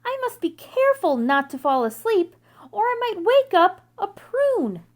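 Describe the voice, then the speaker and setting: A woman's voice: very high voice, sing-song, measured pace, pitched above the performer's own voice, heavily acted. A woman voicing a cautious, playful girl grape in a storybook, with a careful, lightly comic manner. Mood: playful caution.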